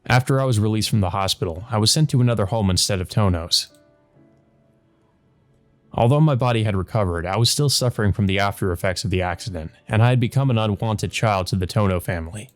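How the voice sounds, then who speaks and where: A man's voice: lowish voice, fast talker. A man doing a let's play, reading a visual novel's narration aloud. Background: background game music.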